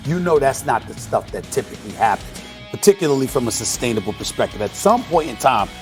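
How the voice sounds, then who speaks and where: A man hosting a televised sports debate, arguing his points in an emphatic, forceful way. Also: mid-range voice, very animated, fast, soft background music. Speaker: host of a sports debate show.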